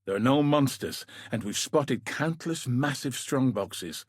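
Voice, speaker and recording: An actor playing a gruff, dutiful military commander in a video game, with a husky, rough-edged voice and a grave, dry, clipped delivery. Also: male voice, mid-range voice, lively intonation, measured pace, dry recording. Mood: grave, resolute. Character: gruff, dutiful male military commander sending a warrior into danger. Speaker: video game character, quest giver. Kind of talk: scripted video game voice acting.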